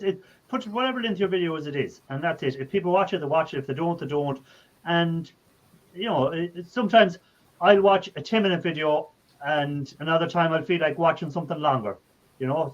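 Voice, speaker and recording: A man's voice: fairly high pitch, fairly quick. An Irish man hosting a live chat, talking in an easygoing, agreeable way. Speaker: host. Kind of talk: casual group chat. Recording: dull lo-fi call audio.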